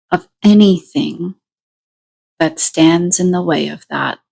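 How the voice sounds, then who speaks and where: A woman leading a guided meditation, giving deliberate instructions in short phrases with pauses between them. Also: fairly low pitch, gravelly, a little mellow.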